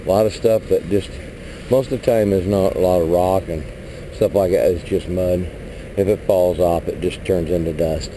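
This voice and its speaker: Rambling trucker man, low voice. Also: steady tone, moderate pace, warm tone, slight background noise, rough recording. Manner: rambling, plain-spoken, mild cursing. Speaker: truck driver. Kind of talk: casual walkaround vlog. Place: outdoors at a truck lot beside a flatbed trailer. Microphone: body-worn action camera.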